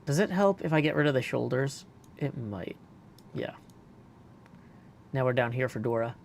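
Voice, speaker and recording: A man's voice: medium pitch, expressive, normal speed, creaky, a little hiss or hum. A man livestreaming a game in a casual, easygoing way, often thinking aloud as he goes. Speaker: game streamer.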